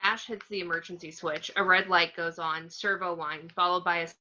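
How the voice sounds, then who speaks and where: A woman's voice: fairly low pitch, steady tone, normal speed, sharp tone, dull audio, a little hiss or hum. A woman reading screenplay stage directions aloud as scripted narration.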